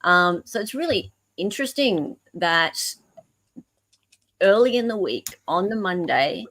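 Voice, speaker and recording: A woman with an Australian accent co-hosting a casual discussion of legal emails, explaining things in a halting, self-correcting way. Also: lowish voice, lively intonation, fairly bright tone, rough recording. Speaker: podcast co-host.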